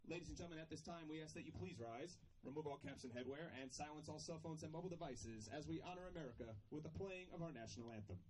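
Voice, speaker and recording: A man making formal, measured stadium announcements, reading out names and numbers over a public address system. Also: a little high, darkish tone, a little hiss or hum. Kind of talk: formal scripted lineup announcement. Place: outdoor soccer stadium, over loudspeakers. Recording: distant stadium PA pickup.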